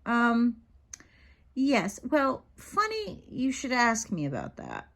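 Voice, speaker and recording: A woman's voice: fairly high pitch, huge pitch swings, takes it slowly, a bit bright, heavily acted. A woman voicing a well-meaning, rambling storybook father, earnest and whimsical. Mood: sheepish, wondering. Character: well-meaning, rambling dad, middle-aged man, storybook.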